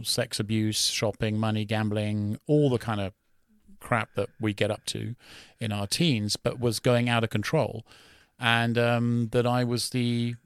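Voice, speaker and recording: A middle-aged British-accented man from London, French his first language, speaks low and fairly level, with little rise and fall. He tells stories as a guest in a soft, relaxed way, recorded dry and close. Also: smooth, no room sound. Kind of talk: conversational interview.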